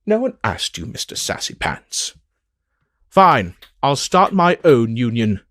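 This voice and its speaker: A man's voice: medium pitch, sing-song, takes it slowly, a bit bright. A man voicing a flamboyant, smug villain with a theatrical, drawling, mock-aggrieved delivery for a hobby audio drama. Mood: mock indignation. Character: flamboyant, smug, theatrical male villain scheming world destruction. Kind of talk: hobby audio drama acting with in-character outtake banter.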